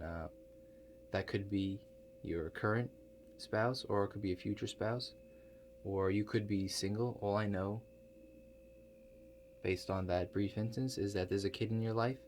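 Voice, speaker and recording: A low male voice , a man in character, plays an awkward tinkerer running a future-viewing contraption in an ASMR roleplay. He speaks softly and haltingly, with understated, mumbled asides and long hesitations. Faint noise sits behind the voice. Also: lightly acted. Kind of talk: soft-spoken ASMR roleplay.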